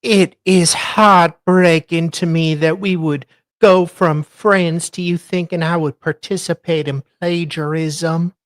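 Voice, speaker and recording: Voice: mid-range voice, steady tone, slow, no room sound, pitched above the performer's own voice, lightly acted. A performer voicing an aggrieved, self-righteous woman in a melodramatic, pompous dramatic reading. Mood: wounded indignation.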